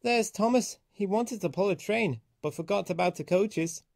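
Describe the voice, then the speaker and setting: A man's voice: sits high, moderate pace, creaky, very noisy, voice pushed up. A man voicing a teasing, know-it-all big male steam engine in a children's storybook, scolding and matter-of-fact. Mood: mocking.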